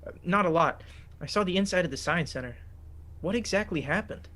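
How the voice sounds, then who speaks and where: A sheepish teenage boy who fumbles for excuses, with a fairly high voice, as a man plays him. His delivery is hesitant and halting. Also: expressive, bright, forceful tone. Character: awkward teenage male student, quick with excuses. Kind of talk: radio-play style script reading.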